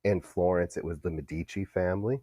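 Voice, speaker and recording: A man's voice: low-pitched, steady tone, brisk, mellow, a little hiss or hum. A male history teacher giving a recorded lecture, explaining steadily with some fillers.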